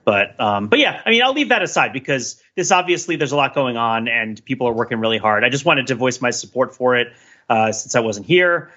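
Bright-voiced man on a slightly dull recording. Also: medium pitch, lively intonation, brisk. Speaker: podcast co-host. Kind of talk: casual opinionated panel chat.

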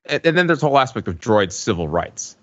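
A man chatting casually as a podcast panelist, in a halting, measured way with trailing asides. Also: mid-range voice, sing-song, fairly bright tone, no room sound, dull audio. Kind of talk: casual discussion of TV.

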